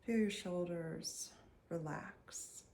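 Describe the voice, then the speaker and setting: A woman leading a guided meditation in a soft, soothing voice, leaving long pauses and sometimes trailing near a whisper. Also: fairly low pitch, creaky. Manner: soothing, unhurried, with long pauses between phrases. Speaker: meditation guide and energy healer.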